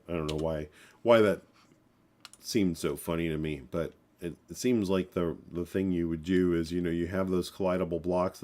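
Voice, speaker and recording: A man in his forties talks through his code on a live stream, his voice sitting very low and sounding stuffed up and congested. He rambles, circling back with fillers and restarts. Keys clatter now and then behind him. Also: a little mellow. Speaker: programming livestream host. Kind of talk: casual live coding talk.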